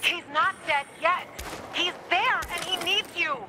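Fierce young game heroine, high voice, urgent n blunt, noisy background. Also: female voice, a little slow. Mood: pleading, angry. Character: fierce, loyal, foul-mouthed young woman fighter. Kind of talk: video game voice acting. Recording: noisy game ambience behind voice.

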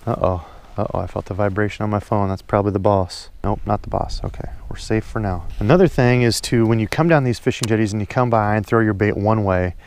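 A man's voice: fairly low pitch, darkish tone. A young man chatting casually and upbeat while fishing outdoors from a pond bank, filmed on a head-mounted action camera. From Iowa, USA. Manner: chatty, upbeat, folksy sayings. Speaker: fishing vlogger.

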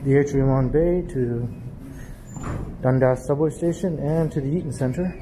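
A man giving an unhurried, casual running commentary while walking through an indoor mall, filmed on a handheld camera. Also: mid-range voice, background noise. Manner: relaxed, unhurried commentary with pauses. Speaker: walking tour vlogger. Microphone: handheld gimbal camera.

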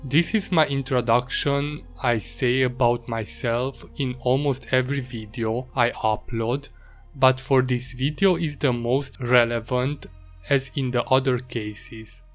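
A man's voice: medium pitch, fairly even intonation, faint music behind. Hungarian-accented man narrating his art process. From Romania, Transylvania. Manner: measured, slightly halting non-native English. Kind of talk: voice-over narration.

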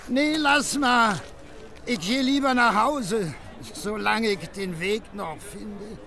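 A man's voice: sits high, takes it slowly, pushed and bright, exaggerated voice. An actor in a German radio play voicing a good-natured, tipsy working-class husband with a Berlin accent, rambling and slurring as he wheedles.

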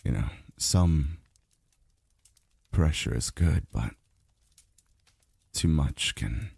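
A man playing a weary, tender immortal lover in an intimate ASMR roleplay, murmuring haltingly with sighs, close to the microphone. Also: animated, a little mellow, dry recording, full character voice. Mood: weary, reluctant. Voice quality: very deep, gravelly, breathy. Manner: halting, murmured, sighing delivery. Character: weary, tender adult male immortal being soothed by a lover. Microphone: close dry microphone.

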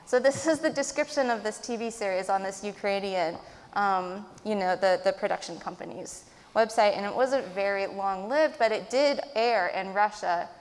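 A woman speaking as a panelist at an academic discussion, thoughtful and hedging with frequent ums, through a face mask. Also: mid-range voice. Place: large auditorium stage. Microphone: table mic, wearing a mask. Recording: long hall reverb.

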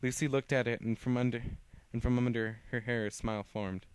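A young man reads his homemade fan fiction aloud, stumbling and restarting on words as he goes. His voice is clear and smooth, at an ordinary middle pitch. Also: steady tone, dry recording. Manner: halting reading with frequent restarts. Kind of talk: reading his own fan story aloud.

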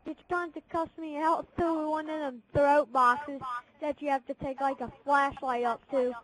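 A woman's voice: sits high, fairly even intonation, moderate pace. A woman chatting casually and bluntly in an online game party, scolding other players over a noisy headset connection. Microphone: game console party chat.

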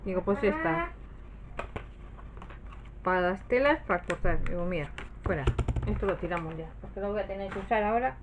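A woman's voice: mid-range voice, lively intonation, moderate pace, pauses often, background noise. A woman with an accent from Spain, chatting casually and rambling as she shows her purchases, often trailing off and correcting herself. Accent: Spanish from Spain. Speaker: craft haul vlogger. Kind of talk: casual chat, showing purchases to camera.

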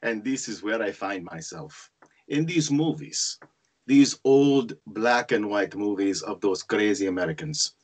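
A man's voice: lowish voice, unhurried, husky, exaggerated voice. A man playing an exasperated adult son who tells of his boyhood love of movies in a theatrical screenplay reading. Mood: wistful, theatrical. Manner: expressive, theatrical storytelling. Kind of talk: screenplay table read, dramatic monologue. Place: indoors on a group video call.

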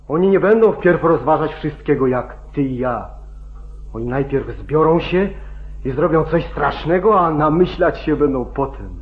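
A man's voice: mid-range voice, close to an everyday voice. A man playing a wary, weary older friend in an old radio drama, giving blunt warnings with stern urgency. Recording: dull, band-limited 1940s radio recording.

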